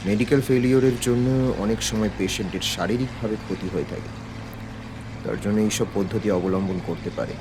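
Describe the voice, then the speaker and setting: An actor playing a composed, probing police detective in charge, questioning in a measured way in an audio crime drama. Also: male voice, lowish voice, noisy background, natural voice.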